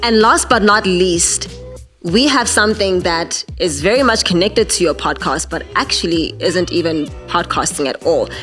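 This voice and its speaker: A young woman with a deep voice teaching podcasting in a masterclass, earnest and direct, often repeating words as she thinks. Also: expressive, normal speed, fairly bright tone, faint music behind. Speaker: podcasting instructor.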